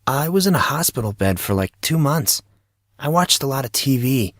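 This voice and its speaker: Man voicing a higher-pitched, wisecracking teenage boy. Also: animated, moderate pace, dry recording, clean studio sound, natural voice. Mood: wry. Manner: flippant, wisecracking. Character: Monson, joking, awkward fourteen-year-old schoolboy.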